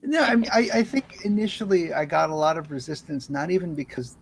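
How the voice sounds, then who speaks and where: Interview guest, medium pitch, casual conversational answers. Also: fairly quick, a little hiss or hum. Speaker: guest writer.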